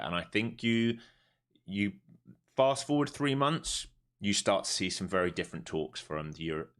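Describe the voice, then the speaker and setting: Deep-voiced man in his forties. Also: fairly bright tone. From Europe. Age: 40s. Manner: casual, chatty, blunt, swears freely. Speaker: livestream day trader. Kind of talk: live market commentary and chat.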